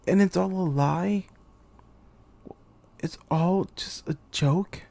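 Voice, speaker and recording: A man playing a betrayed, despairing young military commander in a theatrical, halting anime-game monologue. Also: sits high, gravelly, darkish tone, full character voice. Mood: outraged, distraught. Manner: theatrical, halting, anguished delivery. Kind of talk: dramatic monologue, anime-game fan voice reel.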